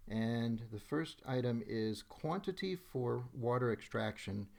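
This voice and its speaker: A man with a mid-pitched voice narrates a software demonstration. He works through it step by step, stating each action in a methodical way as he carries it out. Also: lively intonation, a little slow, gravelly. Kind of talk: step-by-step screen tutorial narration. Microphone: voice-over on a screen recording.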